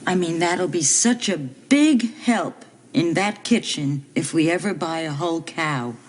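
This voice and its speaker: An actress playing a wife who speaks softly and hesitantly in bed. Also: female voice, lowish voice, animated, measured pace, a little hiss or hum. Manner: hesitant, soft-spoken.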